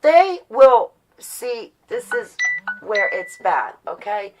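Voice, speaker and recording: A woman's voice: fairly high pitch, sing-song, takes it slowly, very noisy. A woman giving a casual, rambling vlog monologue, opinionated and ranting, prone to swearing and to acting out imagined quotes. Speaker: vlogger.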